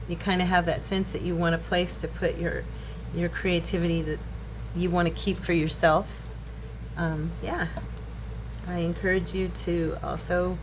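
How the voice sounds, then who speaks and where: Low-voiced woman in her fifties, chatty. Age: 50s. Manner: chatty, rambling, musing aloud. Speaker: craft channel host. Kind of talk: casual crafting tutorial chat. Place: indoor craft table at home. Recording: clearly noisy home recording.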